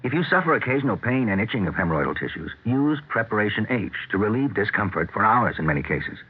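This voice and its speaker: A man's voice: fairly low pitch, very animated, fast, slightly rough. A man reading a scripted 1970s radio commercial as announcer. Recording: phone-like old radio recording.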